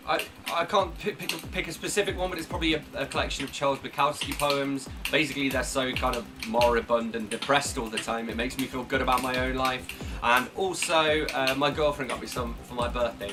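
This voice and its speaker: Male book vlogger, mid-pitched, informal and rambling, self-deprecating, clear music behind. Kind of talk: casual chat to camera.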